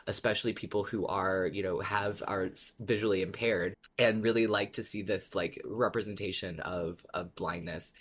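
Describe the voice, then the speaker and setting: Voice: deep voice, fairly bright tone. A podcast co-host who reads listener submissions and talks them over casually, often repeating words while thinking.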